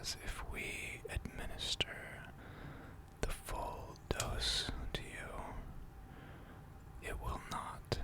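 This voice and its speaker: A person in an ASMR roleplay voicing a gentle, attentive mechanic who tends robots, speaking formally and deliberately in a soft, breathy whisper. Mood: calm, methodical. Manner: slow, deliberate, soothing, formal.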